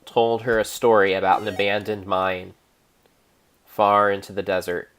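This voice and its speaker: A man narrating an improvised story in a theatrical, measured way, pausing between phrases. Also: lowish voice, little pitch movement, a bit bright. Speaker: streamer, narrator.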